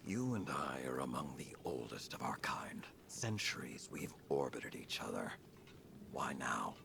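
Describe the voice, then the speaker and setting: A man playing an ancient, stern, weary warrior lord with a breathy growl, measured and brooding, in a video game cutscene. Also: a bass voice, sing-song, background noise, heavily acted. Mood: weary, wary.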